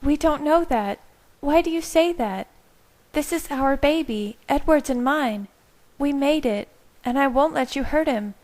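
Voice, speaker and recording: A woman's voice: high voice, a little slow, darkish tone, voice pushed up. A woman voicing a desperate young woman who pleads and insists, refusing to back down. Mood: pleading.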